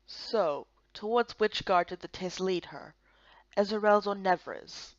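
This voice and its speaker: Woman doing a low, gruff warrior leader. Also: takes it slowly, dry recording, dull audio, close to an everyday voice. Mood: stern, blunt. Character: gruff, serious male warrior guard leader.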